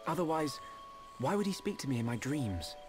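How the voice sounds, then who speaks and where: Earnest young British hero over music. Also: male voice, fairly high pitch, big pitch swings, normal speed. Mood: thoughtful, gentle. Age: young adult. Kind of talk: video game voice acting.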